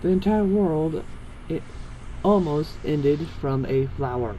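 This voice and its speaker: A man with a soft-edged, mellow voice reads a fan story aloud, moving between narration and dialogue. He trips over longer words now and then. The amateur recording has faint noise behind it. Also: a little high, lively intonation, takes it slowly, lo-fi sound. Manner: stumbles over long words. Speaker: fan fiction reader.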